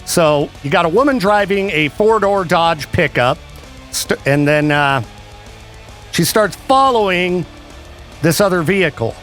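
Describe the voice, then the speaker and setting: Male radio host, higher voice, chatty and joking, soft music bed underneath. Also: animated, unhurried. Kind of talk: casual radio banter.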